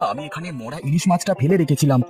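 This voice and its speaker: A man's voice: on the high side, quick pace, over music, lightly acted. A man playing an earnest, plain-spoken young street vendor and devoted son in a children's cartoon. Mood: excited.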